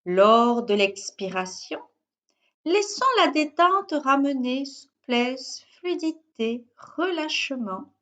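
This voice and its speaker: A woman guiding a yoga nidra relaxation as a teacher, in short, measured instructional phrases with pauses. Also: high-pitched, lively intonation, fairly bright tone. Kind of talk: guided yoga nidra meditation.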